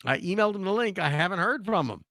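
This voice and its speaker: Hoarse male sports talk host, lively pitch, dry close sound, loose and ranting. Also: fast talker, dry recording. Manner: animated, ranting, with frequent restarts. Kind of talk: casual sports talk commentary.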